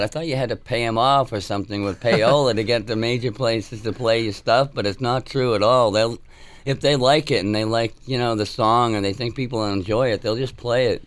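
A musician from Massachusetts, hoarse and raspy from laryngitis, talking in a relaxed, rambling way full of fillers during an interview. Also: male voice, fairly low pitch. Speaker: musician guest. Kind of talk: casual interview talk.

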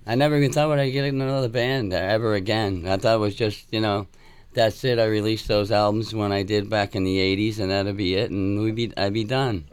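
A musician from Massachusetts, hoarse and raspy from laryngitis, talking in a relaxed, rambling way full of fillers during an interview. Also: male voice, fairly low pitch, brisk. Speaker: musician guest. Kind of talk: casual interview talk.

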